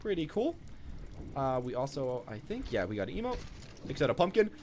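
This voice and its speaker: Young guy chatting casually about a video game, talks quick, pitch bouncing around, bit of noise behind him. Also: very animated, a little mellow. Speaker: gaming video creator. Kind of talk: casual off-the-cuff game showcase commentary. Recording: noticeable background noise.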